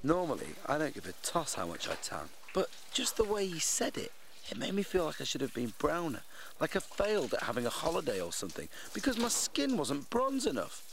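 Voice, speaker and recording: A man's voice: fairly high pitch, huge pitch swings, fairly quick, creaky, a little hiss or hum, exaggerated voice. An actor playing an anxious, irritable young British office worker voicing his rambling, self-questioning inner monologue in an audio drama. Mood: indignant, fretful.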